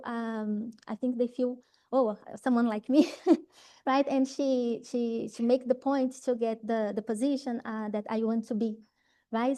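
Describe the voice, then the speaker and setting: Hesitant Brazilian woman giving a talk. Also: dark tone. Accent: Brazilian Portuguese. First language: Portuguese. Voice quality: low voice. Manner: hesitant, many fillers and restarts, frequent "right?". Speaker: researcher speaking to trainees. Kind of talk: informal talk at an event.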